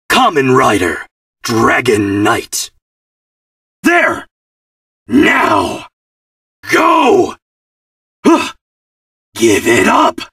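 A man's voice: sits high, creaky, sharp tone, dry recording, studio quality, distant mic, exaggerated voice. A man playing a cocky, boastful armored fighter in a video game, throwing out short battle taunts and grunts.

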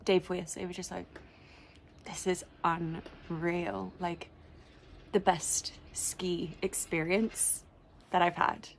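A woman's voice: fairly low pitch, little pitch movement, slow pace, creaky, a little hiss or hum. A young woman chatting to her phone camera in a vlog, gushing and enthusiastic, full of "like" and "um". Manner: gushing, enthusiastic, many likes and ums. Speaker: lifestyle vlogger. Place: indoors in a ski chalet.

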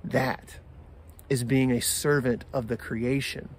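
Slow, deliberate American pastor preaching. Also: male voice, medium pitch, flat delivery, slight background noise. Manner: measured, deliberate phrasing with pauses. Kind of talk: sermon for an online service. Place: outdoors in a garden.

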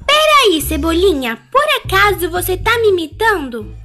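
A woman's voice: very high voice, very animated, moderate pace, bright, forceful tone, faint music behind, heavily acted. A Brazilian woman voicing a feisty, easily exasperated little girl in an emphatic cartoon fandub style. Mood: annoyed. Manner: emphatic, exclamatory. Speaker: amateur fan dubber.

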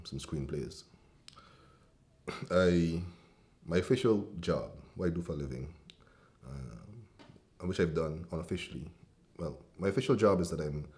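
Very deep-voiced Guyanese man, hesitant. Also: a little mellow. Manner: hesitant, thoughtful, many ums and restarts, frequent coughs. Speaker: writer and cultural policy advisor. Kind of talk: seated interview answers. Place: indoor room with brick fireplace and bookshelves.

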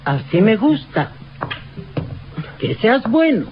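High-voiced, tender mother. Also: big pitch swings, takes it slowly, a little hiss or hum. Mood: consoling. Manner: tender, soothing. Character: gentle, devout mother consoling her little son. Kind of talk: old radio drama acting. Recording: dull lo-fi old radio recording.

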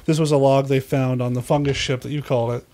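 A man's voice: mid-range voice, flat delivery, fast, warm tone. A man hosting a podcast, discussing film in a casual, conversational way with joking asides. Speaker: podcast host.

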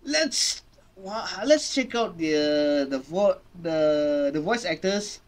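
A young adult man giving casual reaction commentary as a video host, halting and often repeating his phrases. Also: fairly high pitch, animated. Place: small home room indoors. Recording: loud music under the voice. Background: loud music from watched game trailer.

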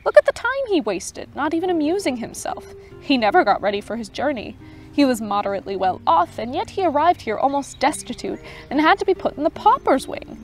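A woman's voice: high voice, big pitch swings, brisk, faint music behind, pitched above the performer's own voice. A woman voicing a severe, stern unseen official who judges a case with cold, critical remarks.

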